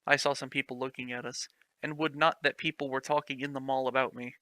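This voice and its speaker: A man's voice: mid-range voice, flat delivery, quick pace, pushed and bright, dry recording, clean studio sound. A man reading a clumsy fan story aloud, mocking it with sarcastic, swearing commentary. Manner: sarcastic, swears, laughs at the text.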